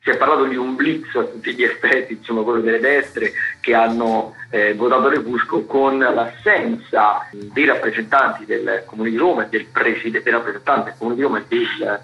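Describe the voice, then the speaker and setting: A man giving spontaneous radio commentary as a theatre critic, wordy and halting, often correcting himself. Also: medium pitch, fairly even intonation, sharp tone. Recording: dull, band-limited remote radio link.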